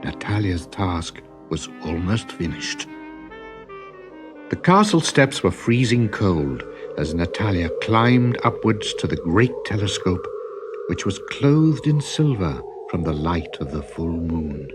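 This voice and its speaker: A man narrating a children's story in a dramatic, deliberate way, with expressive swells and hushes. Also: deep voice. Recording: music behind the voice.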